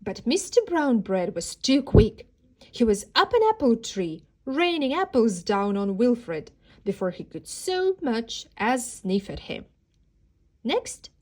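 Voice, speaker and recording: Slow-paced woman reading a children's storybook. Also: medium pitch, low-quality recording. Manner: expressive, dramatic emphasis on key words. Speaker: teacher reading aloud.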